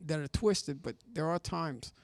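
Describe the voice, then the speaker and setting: A man's voice: a little high, unhurried, no room sound. A man hosting a cable access variety show, chatting casually with a guest and cracking boastful jokes. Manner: joking, boastful banter. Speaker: host. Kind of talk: casual chat. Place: studio.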